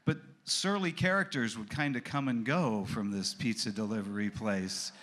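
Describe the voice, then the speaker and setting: A man's voice: mid-range voice, normal speed, fairly bright tone. A man on a panel telling a wry, laughing story about his early days. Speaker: keyboard player on a panel. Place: cruise ship event room.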